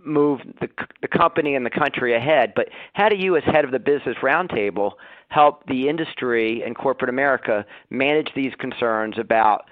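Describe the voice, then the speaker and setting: Halting male analyst on dry phone line. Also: mid-range voice, dry recording. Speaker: financial analyst asking questions. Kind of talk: spontaneous question on earnings call.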